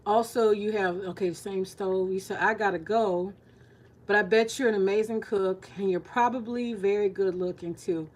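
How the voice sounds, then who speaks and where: A woman's voice: mid-range voice, steady tone, normal speed, a little mellow. A woman in her forties, a retired teacher, chatting candidly to viewers as she cooks in her home kitchen, joking and swearing freely. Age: 40s. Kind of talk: casual cooking vlog chat.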